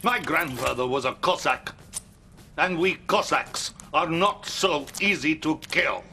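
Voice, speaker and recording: An actor playing a commanding, scheming middle-aged Soviet premier with a Russian accent in a theatrical, emphatic manner for a video game cutscene. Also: male voice, on the high side, a little slow, sharp tone, slight background noise. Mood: confident, menacing.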